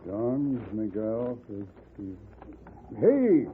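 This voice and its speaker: An actor playing a brusque, grumbling border station inspector in a radio western. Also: male voice, mid-range voice, sing-song, drawn-out words. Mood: grudging. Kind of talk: 1950s radio western acting. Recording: tinny old radio with hiss.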